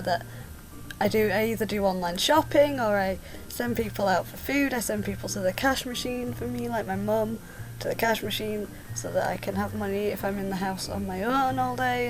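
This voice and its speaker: A woman's voice: medium pitch, poor audio. A young woman with a sore throat, chatting casually and a little ramblingly as a vlogger. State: sore throat, coming down with a cold. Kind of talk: casual chat to camera.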